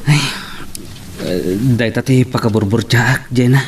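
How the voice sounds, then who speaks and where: An actor voices an earnest young man troubled over his sweetheart. His mid-pitched voice is sincere and subdued, acted in the style of a radio drama. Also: unhurried, a little hiss or hum, natural voice.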